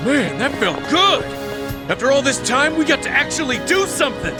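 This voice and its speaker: An actor playing an excitable, indignant townsman with a declamatory, fervent delivery in an English game dub. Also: extremely high pitch, moderate pace, loud music behind the voice. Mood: fired up.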